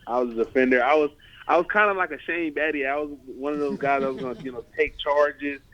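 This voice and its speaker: A man's voice: medium pitch, fast. Young American man, dull lo-fi call audio. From United States (California and Ohio). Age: 20s. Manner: informal, repeats "you know" and "like" often. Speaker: American football player, linebacker. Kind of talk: casual press interview answers. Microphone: remote conference call.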